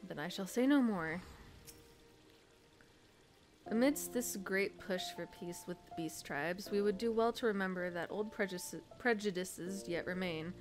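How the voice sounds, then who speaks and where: Mid-pitched woman game streamer over loud music. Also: measured pace. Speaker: gaming channel host. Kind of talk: game playthrough, reading dialogue aloud with casual asides.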